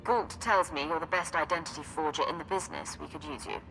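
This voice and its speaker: An actress playing a cool, probing crew leader in a video game, direct in manner. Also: female voice, low-pitched, fast talker, creaky, fairly bright tone, faint music behind, rough recording. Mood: cool, questioning. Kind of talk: video game cutscene acting.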